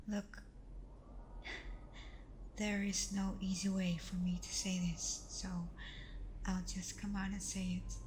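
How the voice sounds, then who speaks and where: A woman playing a protective, anguished vampire girlfriend in an ASMR roleplay, speaking in a soft, breathy half-whisper with earnest pauses. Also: medium pitch, slight background noise, close to an everyday voice. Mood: grave, worried. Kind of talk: ASMR roleplay, close intimate acting.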